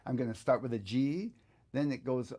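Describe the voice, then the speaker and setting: A middle-aged man giving a casual step-by-step tutorial, unhurried and informal, often thinking aloud as he works. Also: medium pitch, warm tone. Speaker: guitar teacher giving a software tutorial.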